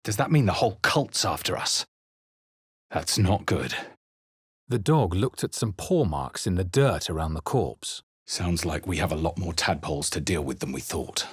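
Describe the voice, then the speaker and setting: A man voicing a wry, watchful fantasy adventurer in a video game, with a measured, dramatic delivery. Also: sits low, expressive, husky, no echo, clean studio sound, heavily acted. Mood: matter-of-fact, then somber. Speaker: player character voice in a video game. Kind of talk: scripted game narration, barks and spell incantations.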